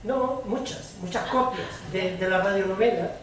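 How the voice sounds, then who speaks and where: A woman's voice: medium pitch, moderate pace. A woman moderating a public debate, explaining things off the cuff and sometimes searching for words. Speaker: debate moderator from a radio organization. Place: indoor public debate, roomy, picked up from afar. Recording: echoey room, distant mic, faint noise.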